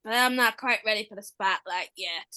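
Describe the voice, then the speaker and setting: A woman's voice: medium pitch, brisk, sharp tone, clean tone, dry recording, natural voice. A woman voicing a sly, sweet-talking female flytrap plant in an audio musical, coy and knowing. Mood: coaxing, sweet. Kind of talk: podcast musical read-through.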